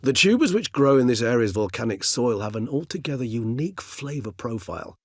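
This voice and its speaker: Husky, erudite ancient vampire gentleman. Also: mid-range voice, big pitch swings, dry recording, studio quality. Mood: scholarly, measured. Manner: eloquent, formal, wryly measured, precise. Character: urbane, erudite ancient male vampire, courteous and loyal. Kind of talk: video game character acting.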